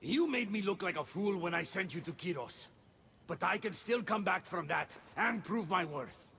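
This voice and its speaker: A man's voice: high voice, expressive, normal speed, vocal fry, pushed and bright, a little hiss or hum, full character voice. An actor playing an anxious, devoted male god in a video game cutscene, speaking in an earnest, theatrical, formal way. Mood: pleading. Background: music.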